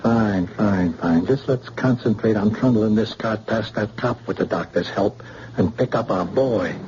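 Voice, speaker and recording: A man's voice: on the low side, measured pace, darkish tone, natural voice. An actor playing a menacing gangster in an old radio drama, with slangy tough-guy talk. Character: menacing male gangster, cool and threatening. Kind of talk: 1940s radio acting. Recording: dull old radio recording, faint hiss.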